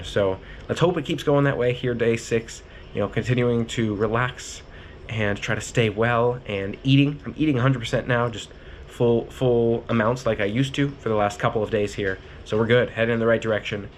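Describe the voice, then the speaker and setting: A man's voice: on the low side, darkish tone. A man with a stuffy nose, talking in an upbeat, joking way in a casual video diary. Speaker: vlogger. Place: inside a car. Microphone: phone mounted on the steering wheel.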